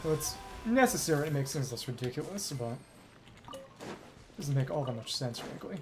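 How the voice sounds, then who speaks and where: A man giving casual gameplay commentary as a streamer, thinking aloud in short, halting fragments. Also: medium pitch, expressive. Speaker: game streamer. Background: video game music.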